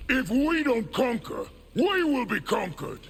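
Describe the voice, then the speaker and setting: A man playing a proud, militant war leader in a video game, forceful and defiant with a gravelly voice. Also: very high voice, big pitch swings, unhurried, slight background noise, heavily acted. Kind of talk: dramatic video game acting.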